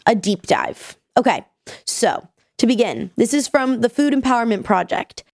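A woman's voice: on the high side, expressive, moderate pace, a little mellow, dry recording, clean studio sound. A woman co-hosting a casual podcast, chatty and sick with a nasal voice. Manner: chatty, tells stories with acted quotes. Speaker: podcast co-host.

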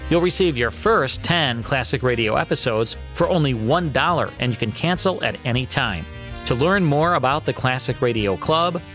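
American radio host over soft music. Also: male voice, medium pitch. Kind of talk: scripted promotional narration.

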